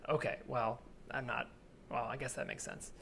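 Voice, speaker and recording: A man improvising an earnest, obsessive man in his twenties in a naturalistic comedy scene, speaking haltingly with pleading intensity. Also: mid-range voice, level tone, vocal fry, bright, forceful tone. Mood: hesitant, confessional. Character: earnest obsessive 27-year-old man haunted by flip-flops. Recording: remote recording with added ambient soundscape noise.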